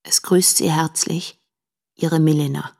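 A woman playing an earnest, troubled married letter writer in a radio play, reading in a formal, measured manner. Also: sits low, fairly even intonation, a little mellow. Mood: troubled, confiding.

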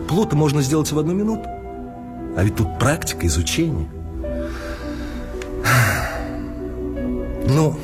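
An actor playing a smug gambler in a theatrical monologue, musing aloud with rhetorical questions and savoured repetitions. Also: male voice, medium pitch, natural voice. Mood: self-justifying. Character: smug self-justifying male gambler gloating over sudden riches. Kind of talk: theatrical monologue in audio play. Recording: loud music under the voice.

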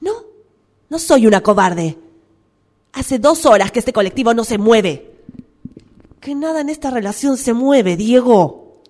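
A woman plays a fed-up girlfriend arguing by phone in a radio play. She has an Argentine accent and a fairly high voice that rises and falls a lot, with a defensive edge. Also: animated, a little slow, long pauses, darkish tone. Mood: hurt, fed up. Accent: Argentine Spanish. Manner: indignant, argumentative. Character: exasperated, defensive girlfriend quarrelling with her boyfriend by phone. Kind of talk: radio drama acting, one side of a phone call.

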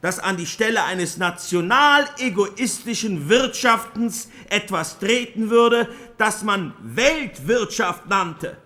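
A man playing a solemn post-war German parliamentarian making a formal, measured speech in a radio docudrama. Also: high-pitched, sharp tone, heavily acted.

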